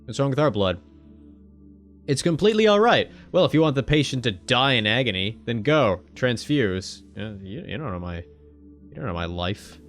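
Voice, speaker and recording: A man's voice: big pitch swings, moderate pace. Joking gamer man with faint background music. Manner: joking, irreverent, swears casually; jumps to sudden loud high exclamations. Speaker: gaming video host. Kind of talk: casual let's-play commentary, reading game text aloud.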